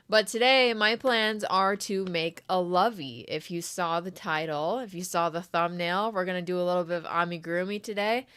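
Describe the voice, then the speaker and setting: A young adult woman hosting a crochet live stream, chatty and friendly with viewers while sick with a sore throat, often clearing her throat. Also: lowish voice, moderate pace, fairly bright tone. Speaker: crochet livestream host. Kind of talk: casual live stream chat.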